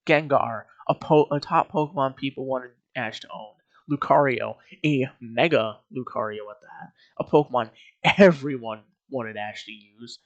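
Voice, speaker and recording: A man's voice: mid-range voice, moderate pace, no room sound, dull audio. A man hosting a casual, opinionated video commentary, speaking emphatically as he ranks and critiques. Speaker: video host giving opinions on an anime series. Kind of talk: casual commentary ranking a cartoon series.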